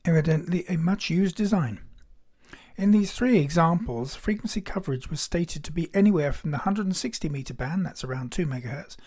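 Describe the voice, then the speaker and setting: A man narrates a technical hobby demonstration from a script. His voice sits a little higher than the average man's, and he speaks in the manner of an explainer. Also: expressive, brisk, darkish tone, husky.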